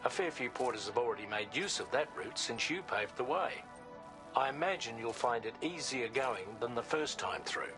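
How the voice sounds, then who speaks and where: A man playing a practical adviser who briefs a courier on routes in a game cutscene, in a steady, colloquial manner. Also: medium pitch, moderate pace, natural voice. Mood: calm. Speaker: mission supporter. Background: game music.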